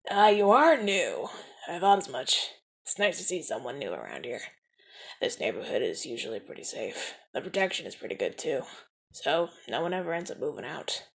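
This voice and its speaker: A suave mafia enforcer, played by a man in an audio roleplay, charming and teasing the listener. His delivery swings widely in pitch, from lively calls down to close, hushed whispers. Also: moderate pace, vocal fry. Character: suave smooth-talking male mafia enforcer. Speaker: mafia man rescuing a newcomer.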